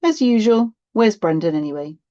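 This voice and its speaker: A woman's voice: medium pitch, expressive, moderate pace, mellow, highs cut off, voice pushed up, close to an everyday voice. Woman as a sulky, aggrieved teenage schoolgirl. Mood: hopeful, cheeky. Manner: complaining, indignant. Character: aggrieved thirteen-year-old schoolgirl, sulky and frustrated. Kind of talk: acted children's audiobook dialogue.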